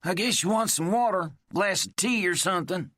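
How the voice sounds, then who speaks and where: A man voicing a bitter, paranoid old laid-off miner who rants in a gruff, folksy drawl. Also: high voice, normal speed, pushed and bright, no echo, studio quality, voice pushed up. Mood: grudging. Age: older. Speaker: story character. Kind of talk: audiobook character voice.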